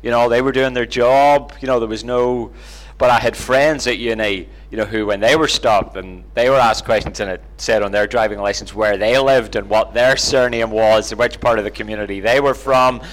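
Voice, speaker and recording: A middle-aged Northern Irish man speaks at an ordinary male pitch. He tells personal anecdotes informally, in a self-deprecating, conversational manner, like a guest being interviewed. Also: steady tone, normal speed, poor audio. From Northern Ireland, near Belfast. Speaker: interview guest, Bible teacher.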